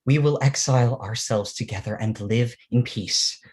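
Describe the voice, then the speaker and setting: An actor playing an outcast monster, pleading and vengeful, in a dramatic staged reading, halting and intense with a husky voice. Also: mid-range voice, darkish tone, lightly acted. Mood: tender, hopeful. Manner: intense, halting, swinging from whispers to cries.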